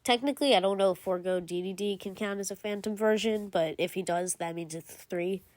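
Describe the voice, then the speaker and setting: Woman, mid-pitched, dry close mic, casual rambling voice-over full of fillers and restarts. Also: a little fast, darkish tone, no room sound. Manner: rambling, filler-heavy, frequent "like" and self-corrections. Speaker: video creator ranking game cutscenes.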